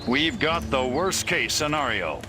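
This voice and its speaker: An actor voicing a grave, terse government agent in a video game, urgent and ominous. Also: male voice, a little high, expressive, a little slow, music in the background. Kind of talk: video game cutscene voice acting.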